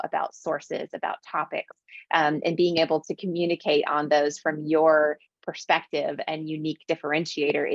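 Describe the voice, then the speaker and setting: A woman's voice: low voice, creaky, no echo, muffled recording. A woman from the American South, a business owner answering interview questions with emphatic repetition. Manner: emphatic, repeats phrases for stress.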